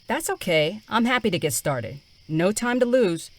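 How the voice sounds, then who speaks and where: A woman playing a brisk, cheerfully insistent veterinarian bluffing her way along in an audio drama. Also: mid-range voice, lively intonation, dry recording, close to an everyday voice.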